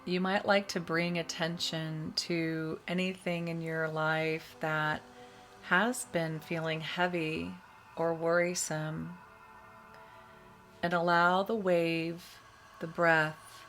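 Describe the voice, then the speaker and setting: A woman leading a guided meditation, giving soothing, measured instructions with long pauses between phrases. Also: fairly low pitch, little pitch movement, fairly bright tone. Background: meditation music.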